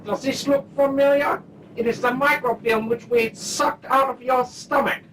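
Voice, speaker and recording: A woman's voice: sits high, steady tone, moderate pace, fairly bright tone. An actress playing a coldly threatening, taunting female interrogator in a comic radio play. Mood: taunting menace. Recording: distant mic, faint background noise.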